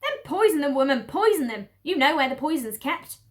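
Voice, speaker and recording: A woman's voice: sits high, sing-song, fairly quick, pitched above the performer's own voice. Woman doing a bossy, impatient farmer's wife.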